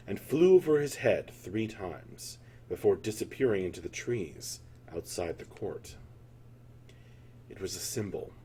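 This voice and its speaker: A man's voice: fairly low pitch, mellow, slight background noise, poor audio. A man narrating a scripted fantasy tale as a storyteller, in a solemn, theatrical manner.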